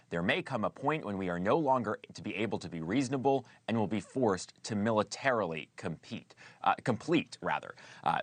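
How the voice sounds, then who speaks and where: An American man reporting live as a news correspondent, in a measured, explanatory delivery. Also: fairly low pitch, a bit bright, dry recording.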